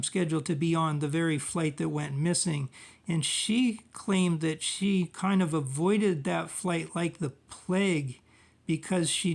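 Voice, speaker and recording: A man talking informally through his own research in a halting, rambling way, often trailing off and searching for words. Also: a little high, creaky. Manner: halting, hesitant, rambling with frequent trailing phrases. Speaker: amateur researcher presenting own video. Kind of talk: informal monologue recounting research.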